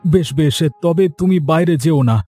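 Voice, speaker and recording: A man's voice: a little high, fairly even intonation, moderate pace, mellow, lightly acted. A man playing a mild, placating husband in a Bengali moral-story cartoon. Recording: dry, with faint music behind.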